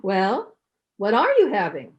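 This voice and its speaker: A woman's voice: medium pitch, wildly swooping pitch, unhurried. A woman playing a nosy, haughty older mother-in-law in an old-time radio sitcom, with a fussy, sing-song, condescending manner. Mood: prying, condescending. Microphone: home microphone over video call. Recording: dull, lo-fi internet call recording.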